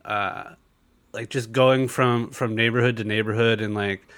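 A man's voice: medium pitch, fairly even intonation, a little slow, fairly bright tone. Congested man hosting a casual podcast. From San Diego area. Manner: loose, rambling, with many fillers and swearing. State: congested, nasal. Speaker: podcast host.

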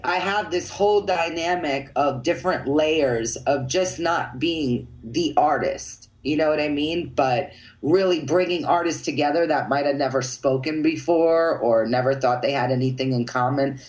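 A woman's voice: sits low, normal speed, hardly any pauses, a little mellow, low-quality recording. Woman artist guest, loose and rambling, drops swear words, keeps checking you're with her. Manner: rambling, frequent "you know what I mean", casual swearing. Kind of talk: casual interview talk.

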